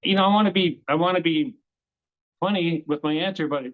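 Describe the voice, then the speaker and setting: Dude chatting as a podcast guest, kinda high voice, muffled lo-fi sound, rambles and restarts a lot. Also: male voice, fairly quick. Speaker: guest, game show creator. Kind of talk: casual interview chat.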